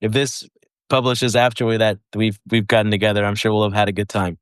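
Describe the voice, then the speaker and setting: A man co-hosting a podcast, talking in a relaxed, conversational way with some hesitation. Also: fairly low pitch, fairly quick, clear, resonant tone, dry recording, clean studio sound. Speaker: podcast co-host. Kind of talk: casual podcast interview.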